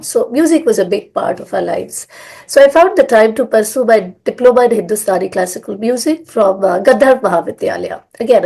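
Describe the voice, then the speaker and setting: A middle-aged woman from India. She talks at a somewhat raised pitch. She rambles warmly through her memories, with many "uh"s and "you know"s along the way. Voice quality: hoarse. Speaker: guest, language school founder. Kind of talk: conversational storytelling.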